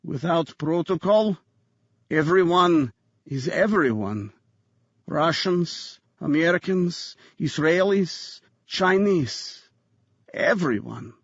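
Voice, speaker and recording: A man voicing a dying foreign gunman in an audiobook thriller, with a heavy foreign accent and slow, halting, cryptic phrases. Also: fairly high pitch, expressive, slightly rough, no room sound, lo-fi sound, voice pushed up, exaggerated voice. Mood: labored, ominous.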